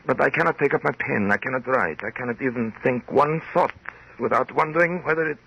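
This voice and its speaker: An actor playing an anguished, obsessed composer in old-time radio drama style, intense and brooding, with halting theatrical repetitions. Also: male voice, mid-range voice, creaky, sharp tone, husky, heavily acted. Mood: haunted, bitter. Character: anguished, obsessed male composer haunted by rival. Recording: muffled, noisy vintage radio recording.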